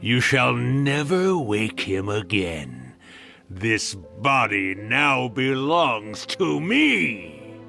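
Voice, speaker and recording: A man's voice: mid-range voice, sing-song, drawn-out words, vocal fry, pushed and bright, soft background music, exaggerated voice. A man voicing a theatrical, mischievous male yokai spirit in a video game, with a grandiose, teasing drawl. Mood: gloating, menacing. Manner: grandiose, teasing, dramatic drawl with swooping emphasis. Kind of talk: scripted video game voice acting.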